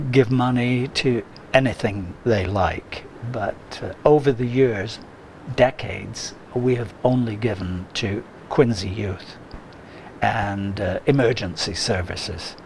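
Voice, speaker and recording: A man answering interview questions slowly and haltingly, with frequent uhs. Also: fairly low pitch, creaky, darkish tone, a little hiss or hum. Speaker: guest, lodge member. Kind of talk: casual interview.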